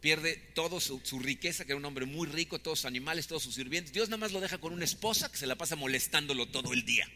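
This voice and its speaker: Pastor in his 50s, sharp bright voice and casual preaching, recorded in a reverberant hall with faint background noise. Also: on the high side, fast talker, hardly any pauses. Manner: conversational preaching with frequent "¿no?" tags. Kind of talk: sermon.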